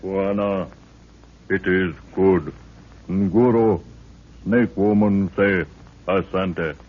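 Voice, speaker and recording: Very deep, slow warrior prince. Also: male voice, fairly even intonation, exaggerated voice. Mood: solemn. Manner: few words, grunting, broken English. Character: mighty African warrior prince, terse and loyal. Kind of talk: 1930s radio acting. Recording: dull 1930s radio recording.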